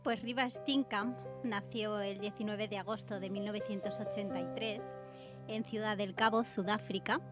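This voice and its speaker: Mid-pitched woman presenting facts. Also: steady tone, moderate pace. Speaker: radio show co-presenter. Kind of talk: factual background narration. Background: faint background music.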